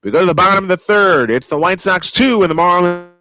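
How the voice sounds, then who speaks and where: A man's voice: high-pitched, fast talker, sharp tone. A man calling a baseball game live in an old-school play-by-play style, with short clipped calls and chatty asides.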